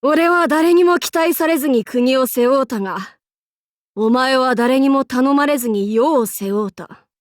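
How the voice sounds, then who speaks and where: A woman playing a brash, cocky young feudal warlord in an anime game, with rough, masculine, archaic and boastful speech. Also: high-pitched, measured pace, no room sound, clean studio sound. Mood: amused, resolute. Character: brash, cocky young feudal warlord, self-styled fool, fiercely loyal. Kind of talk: anime game character voice acting.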